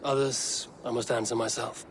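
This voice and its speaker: An actor playing a proud young Viking warrior in a video game, speaking in an earnest, formal, deliberate manner. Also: male voice, medium pitch, level tone, noisy background, natural voice. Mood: reflective. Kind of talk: dramatic video game acting. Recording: game music behind the voice.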